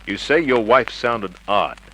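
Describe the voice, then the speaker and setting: Hardboiled detective, commanding and wry, bright lowish voice, 1950s radio play with faint hiss. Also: male voice, animated, unhurried, poor audio. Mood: cool, controlled. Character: tough, cool, wisecracking male private investigator. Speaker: lead actor and narrator. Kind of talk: 1950s radio crime drama acting, hardboiled narration. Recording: old radio recording with hiss.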